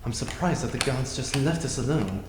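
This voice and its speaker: A man's voice: medium pitch, expressive, normal speed, background noise, close to an everyday voice. A man playing a flippant, verbose space adventurer in a comic audio drama, with theatrical delivery. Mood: cocky. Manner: theatrical, wordy.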